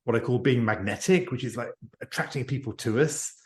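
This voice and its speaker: A man's voice: mid-range voice, brisk. Earnest middle-aged man in echoey room. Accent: non-native to France, English-speaking. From not France; lives near Paris. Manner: earnest, reflective, restarts phrases. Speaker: guest, author and executive advisor. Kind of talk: podcast interview answers. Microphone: remote video call. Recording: echoey room on video call.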